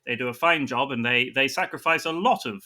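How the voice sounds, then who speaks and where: A man's voice: mid-range voice, a little fast, background noise. A man improvising dramatic narration and banter in a comedy audio drama, with a hammy, flustered manner.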